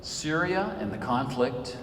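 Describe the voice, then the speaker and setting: A man in his fifties from Senegal, giving an earnest, measured public lecture as an author. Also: on the high side, animated, a bit bright, a little hiss or hum. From Senegal, West Africa. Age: 50s. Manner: earnest, measured, emphatic delivery. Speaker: author and speaker. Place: large cathedral hall. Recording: echoey hall from far off.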